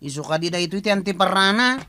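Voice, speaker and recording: A woman's voice: fairly low pitch, animated, fast, bright, forceful tone, no echo. A woman playing a cheeky, nagging young relative in a comic radio drama. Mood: teasing.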